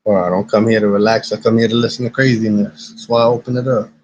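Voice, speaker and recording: A man with a raspy smoker's voice, rambling casually and profanely to his live-stream chat while high. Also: level tone, quick pace, darkish tone. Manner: laid-back, rambling, profane. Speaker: livestreamer. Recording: dull lo-fi stream audio.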